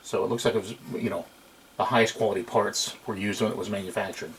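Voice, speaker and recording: A middle-aged man with a fairly low voice talks casually through hands-on repair work. He speaks off the cuff, half to himself as he works. It is an amateur camcorder recording in a small room, with a light layer of noise. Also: fairly even intonation, fast talker, rough recording. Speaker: hobbyist electronics restorer. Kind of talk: casual hands-on workbench demonstration. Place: small indoor room at a workbench. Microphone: camera microphone at a short distance.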